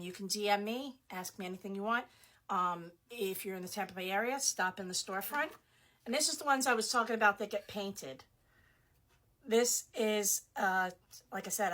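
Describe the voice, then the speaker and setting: A middle-aged woman whose voice is going hoarse, talking casually in her shop office as she shows off what she sells. She chats freely with lots of ums and little laughs, at an ordinary pitch. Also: a bit bright. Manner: chatty, laughs often, many fillers. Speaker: small shop owner. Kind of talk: casual product showcase.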